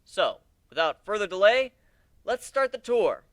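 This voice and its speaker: A man acts out a young male griffin captain from a fantasy story, cocky and sarcastic. The voice is bright and forceful, set fairly high, and it swings widely in pitch with excitable energy. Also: measured pace, dry recording, clean studio sound, full character voice. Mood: upbeat, announcing.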